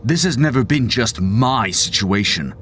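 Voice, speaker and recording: A man's voice: mid-range voice, animated, measured pace, fairly bright tone, a little hiss or hum. An actor playing a stern, bitter middle-aged father in an audio drama, brooding, clipped and authoritarian. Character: stern, embittered, domineering father and political activist. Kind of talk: scripted audio drama acting.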